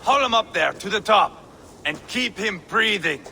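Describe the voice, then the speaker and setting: A man's voice: high voice, lively intonation, normal speed, sharp tone, a little hiss or hum, heavily acted. A man acting a cruel, theatrical Viking warlord in a video game, gloating and mocking as he savors his words. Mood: commanding.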